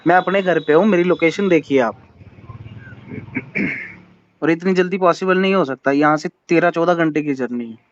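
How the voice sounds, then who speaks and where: A man's voice: fairly high pitch, normal speed, long pauses. A man on a phone call, asking polite but persistent questions. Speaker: phone caller. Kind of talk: tense phone conversation. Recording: muffled phone call recording.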